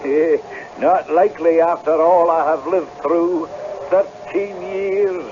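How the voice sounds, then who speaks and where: An actor playing an aging, troubled Scottish piper, telling his tale in an expressive, folksy way for radio drama. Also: on the high side, slow pace, slight background noise. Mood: weary. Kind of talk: radio drama acting, storytelling. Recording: dull old radio broadcast.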